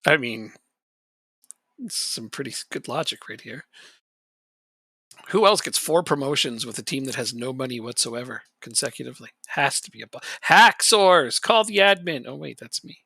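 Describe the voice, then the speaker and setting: A man's voice: mid-range voice, animated, moderate pace, vocal fry, bright, forceful tone, husky, no echo. A man chatting casually on a live stream while playing a football management game, rambling and joking and chuckling often.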